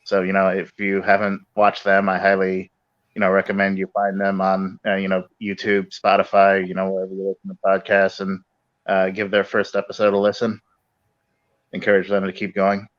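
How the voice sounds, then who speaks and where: A man speaking as a podcast guest in halting, measured conversational phrases. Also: low-pitched, completely flat delivery, muffled recording. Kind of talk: casual conversational talk.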